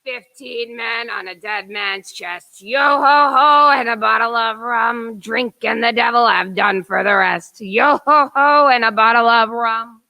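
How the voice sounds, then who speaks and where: A woman playing a gruff, suspicious old seaman captain in an amateur radio play, with commanding, salty pirate talk. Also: on the high side, measured pace, hardly any pauses, sharp tone, full character voice, poor audio. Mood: singing.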